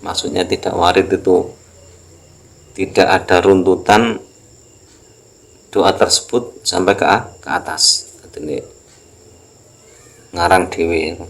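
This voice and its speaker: Male religious teacher, Javanese accent, very low creaky voice, halting explanatory delivery of a text lesson. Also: fairly even intonation. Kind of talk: Islamic text lesson, reading aloud and explaining.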